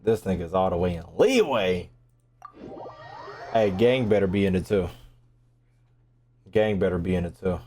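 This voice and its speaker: A man's voice: deep voice, lively intonation, unhurried, pauses often, a little mellow. A man livestreaming a game, chatting casually to his viewers in slangy, profane, joking talk. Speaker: game streamer.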